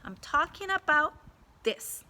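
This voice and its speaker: Stern storybook teacher, put on by a woman reader, scolding, forceful, with emphatic swings in pitch. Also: very animated, exaggerated voice. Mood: firm scolding. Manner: firm, deliberate, scolding. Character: stern woman schoolteacher, firm and coolly in charge. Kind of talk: storybook read-aloud character.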